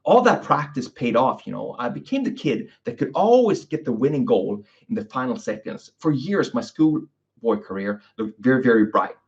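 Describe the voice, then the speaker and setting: A man's voice: medium pitch, big pitch swings, moderate pace. A man hosting his own podcast, talking casually and often tagging his lines with "okay?" and "you know". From Sweden. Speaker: podcast host, physiotherapist. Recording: dull lo-fi recording.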